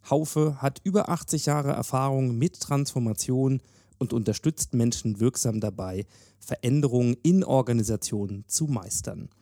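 A man's voice: mid-range voice, mellow, dry recording, clean studio sound. A man hosting a podcast, reading a scripted introduction and sponsor message. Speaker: podcast host.

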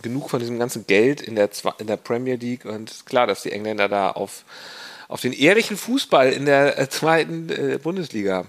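A man at an ordinary mid pitch, co-hosting a relaxed football podcast. His talk is loose and chatty, stumbling through restarts and fillers as he trades banter with his partner. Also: fairly quick. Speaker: podcast co-host. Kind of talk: casual football podcast chat.

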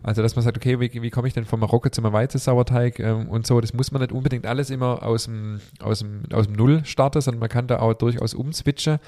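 A man's voice: mid-range voice, fairly even intonation, fast, hardly any pauses, dark tone. A man hosting a podcast in casual chat, a little stuffy from a cold, rambling with many fillers and restarts. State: has a cold. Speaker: podcast host.

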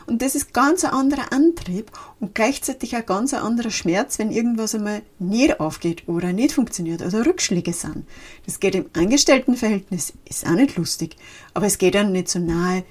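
Chatty Austrian woman, breathy voice. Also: mid-range voice, lively intonation, normal speed, darkish tone. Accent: Austrian German. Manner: chatty, switches between formal speech and dialect. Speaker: podcast host and business coach. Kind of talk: casual solo podcast talk.

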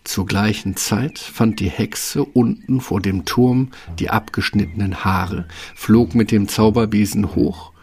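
A man's voice: fairly low pitch, fairly even intonation, a little slow, hardly any pauses, a little mellow, husky. A man narrating a scripted fairy tale for an audio play.

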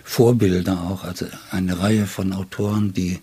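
A man in his seventies speaking reflectively as an interview guest, phrasing deliberately and haltingly with ornate word choices. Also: deep voice, flat delivery, dark tone, husky. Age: 70s. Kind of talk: reflective literary conversation.

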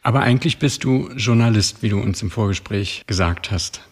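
A man with a fairly low voice hosts the podcast, asking polite and measured questions. His voice rings with a long reverb from the room. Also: breathy edge. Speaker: podcast host. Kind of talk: interview questions.

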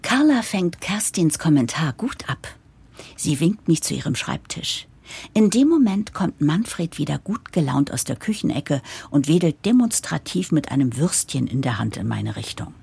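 A woman's voice: sits low, big pitch swings, normal speed, gravelly, a little mellow, breathy edge, exaggerated voice. A woman playing a harried, idealistic new managing director who narrates an audio drama in a wry, confiding way. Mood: wry, weary. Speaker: narrator and lead character.

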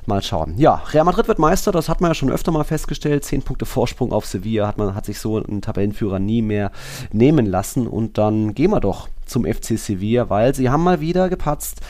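A man's voice: mid-range voice, animated, fairly quick, a little mellow. A man with a casual, conversational delivery, talking as a host on a football podcast.

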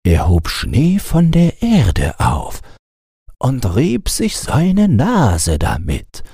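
Wistful storybook snowman, read by a man: plaintive, mellow, swooping gently in pitch. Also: huge pitch swings, very slow, gravelly, husky, no room sound, studio quality, exaggerated voice. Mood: chatty, musing. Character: wistful talking snowman telling of his travels.

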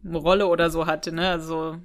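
German woman podcast co-host, lowish voice, chatting casually, pretty matter of fact. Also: flat delivery, normal speed. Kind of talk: casual chat, discussing an audio drama.

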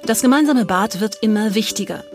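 A woman's voice: medium pitch, brisk, faint music behind. A woman reading scripted documentary narration.

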